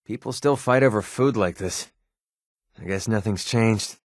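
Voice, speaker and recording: A man's voice: fairly low pitch, measured pace, no echo, studio quality, lightly acted. A man with an American accent playing a sarcastic, wisecracking young mercenary companion in a video game. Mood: somber, reflective. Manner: sardonic, wisecracking, colloquial. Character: wry, sarcastic young male wasteland mercenary. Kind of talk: video game companion dialogue, naturalistic acting.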